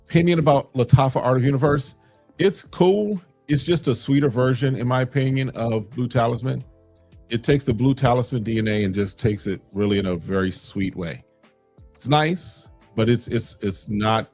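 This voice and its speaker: A man's voice: medium pitch, moderate pace, a little mellow, faint music behind. A man from Chicago's South Side hosting a casual fragrance livestream, answering chat questions in a loose, conversational way with plenty of fillers.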